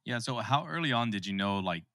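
Low-voiced man hosting a casual podcast. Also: expressive, fairly quick, fairly bright tone, dry recording, clean studio sound. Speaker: podcast host, interviewer. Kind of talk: casual conversational interviewing.

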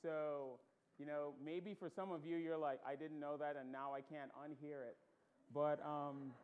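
A man with a light Bahamian accent preaching a sermon as pastor, conversational with many ums and restarts, rising to emphatic stresses. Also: on the high side.

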